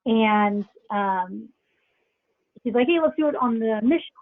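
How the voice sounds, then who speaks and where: A woman calls in as a new business coach, chatty and a little hesitant. Her voice sits a bit high. It comes over a tinny, phone-like line. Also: animated, a little slow, no echo. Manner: chatty, slightly hesitant, with many ums.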